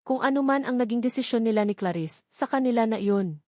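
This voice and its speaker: A woman's voice: a little high, fairly quick, mellow, dry recording. Woman narrating a dramatic story, dry sound. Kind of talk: scripted first-person dramatic love story narration.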